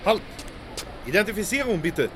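An actor playing a curt German occupation corporal in a radio drama, barking clipped orders with a German accent. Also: male voice, big pitch swings. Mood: curt, suspicious. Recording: noisy station with vehicle rumble.

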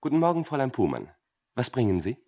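Earnest, husky-voiced young clerk. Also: male voice, medium pitch, wildly swooping pitch, fast, mellow. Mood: brisk, methodical. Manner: formal, courteous, pedantic. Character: polite, earnest young male clerk, recent student. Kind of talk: German satirical radio drama acting.

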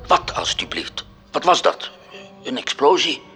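A man's voice: medium pitch, big pitch swings, a little slow, pushed and bright, faint music behind. An actor playing a dry, sceptical heavenly superior who questions his underling curtly and incredulously in a radio drama.

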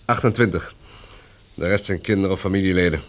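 A man's voice: low voice, fast, close to an everyday voice. An actor playing a polite, reasonable man who helps organise a group of survivors in a radio drama. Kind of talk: Dutch radio drama acting.

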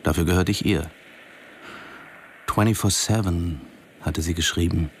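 Low-voiced, sober middle-aged man narrating. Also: measured pace, slight background noise. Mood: sober, reflective. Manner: sober, matter-of-fact narrating. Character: reserved middle-aged male diving instructor, detached observer. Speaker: narrator and character. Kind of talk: radio drama narration and dialogue.

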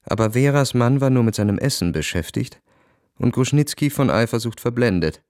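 An actor playing a cynical, world-weary young officer narrating his diary in a cool, measured, introspective way. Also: medium pitch, lively intonation, a little mellow, no room sound, studio quality, close to an everyday voice. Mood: tense, detached. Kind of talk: literary radio drama narration, diary-like first person.

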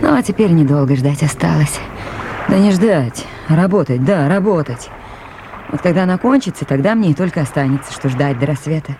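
A woman's voice: low-pitched, expressive, brisk, warm tone. An actress playing a lone woman at a river station in a Soviet radio play, speaking tenderly and with brave unease, as if to a dog and to herself. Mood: brooding, uneasy. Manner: talking soothingly to a dog and to herself. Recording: noisy 1980s radio play, outdoor water ambience.

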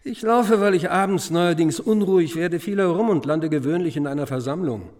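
Actor as sardonic, gossipy schoolteacher. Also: male voice, fairly high pitch, fairly quick, a little mellow, close to an everyday voice. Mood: ironic, conspiratorial. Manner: ironic, chatty, conspiratorial. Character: gossipy, sardonic schoolteacher, cynical confirmed bachelor. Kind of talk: conversational radio drama acting.

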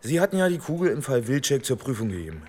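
An actor playing a chatty, wry police ballistics expert in a radio drama, folksy in manner. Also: male voice, medium pitch, very animated, quick pace, darkish tone. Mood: pleased, informative.

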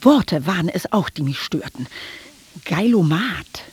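A woman's voice: on the low side, animated, unhurried, slightly rough, heavily acted. An actress playing a disillusioned woman in a radio drama, narrating reflectively in broken phrases. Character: disillusioned woman quietly listing her partner's faults.

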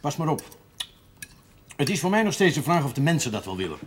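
An actor playing a worldly, good-humoured priest in a television drama, talking in an expansive, amiable way. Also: male voice, fairly high pitch, very animated, fairly quick. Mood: explanatory. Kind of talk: television period drama acting. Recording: slightly noisy old television soundtrack.

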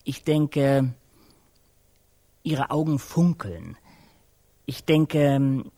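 A solemn, reverent speaker in a radio play. He talks in measured, emphatic phrases that often drop toward a hush, in a close, dry studio sound. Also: medium pitch, lively intonation, no room sound, exaggerated voice. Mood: insistent. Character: solemn, reverent speaker musing on sacred life. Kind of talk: German radio drama dialogue.

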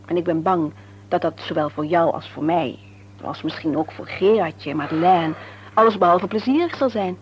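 An actress playing a sensible, frank and caring wife in a radio serial, reasoning earnestly and gently chiding. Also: female voice, lowish voice, normal speed, lightly acted. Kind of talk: Dutch radio serial drama acting. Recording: noisy old radio recording.

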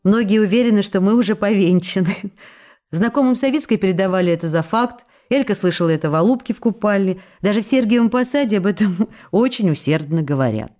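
An actress playing a tender, lovestruck young actress in a radio play, reading her letters aloud in an intimate, wistful manner with pensive pauses. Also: mid-range voice, animated, mellow, close to an everyday voice. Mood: longing, playful.

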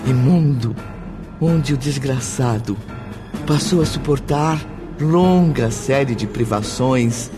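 A man serves as narrator of a drama. He reads solemnly and deliberately in a mid-pitched voice, with clear music behind him. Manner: solemn, measured.

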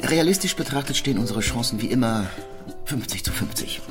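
An actor playing a driven, formal inventor speaking in a measured way. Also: male voice, medium pitch, close to an everyday voice. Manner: formal, measured, shouting with excitement at success. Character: inventor Daimler, earnest, driven, formal middle-aged man. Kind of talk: audiobook drama scene. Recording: music playing behind.

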